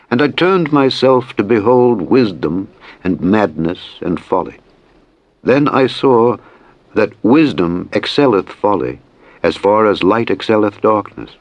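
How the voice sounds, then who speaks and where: A man reading scripture as a solemn audiobook, in a measured, formal, declamatory manner. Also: on the low side, dry recording. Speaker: scripture reader. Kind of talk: solemn Bible audiobook narration. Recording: dull, muffled, lo-fi audio.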